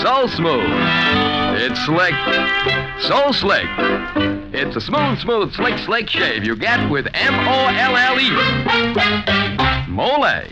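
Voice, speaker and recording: Male announcer, 1940s radio advertising, highish pitch, wildly lively intonation, folksy, emphatic. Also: highs cut off. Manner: peppy, emphatic, folksy salesmanship. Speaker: commercial announcer. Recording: old 1940s radio broadcast, music behind.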